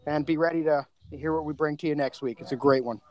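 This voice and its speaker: A man's voice: fairly high pitch, steady tone, fast talker. A man chatting casually in a group podcast, speaking in an effusive, rambling, informal way.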